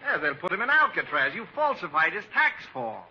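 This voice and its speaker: A man's voice: sits high, very animated, a little fast, gravelly, slightly rough, muffled recording, exaggerated voice. A man playing an exasperated psychiatrist with dry, sardonic, mock-professional delivery in an old-time radio comedy, on a vintage recording. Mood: dry, matter-of-fact. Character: exasperated male psychiatrist with dry, wry wit.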